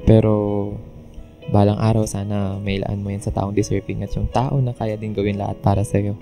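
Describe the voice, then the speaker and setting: A man playing a gentle, forgiving young man, soft and reassuring in radio drama dialogue. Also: lowish voice, level tone, moderate pace, creaky, soft background music. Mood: tender reassurance.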